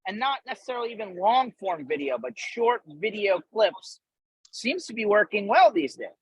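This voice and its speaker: Male interviewer, slightly high and raspy, casual and conversational. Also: big pitch swings, moderate pace, dry recording, poor audio. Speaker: host.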